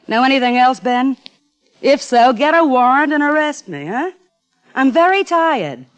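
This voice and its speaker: Woman acting a tough tired us dame in old radio crime show, snappy, defiant, pitch swings around. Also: close to an everyday voice. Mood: scornful. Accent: American. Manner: sardonic, snappy retorts.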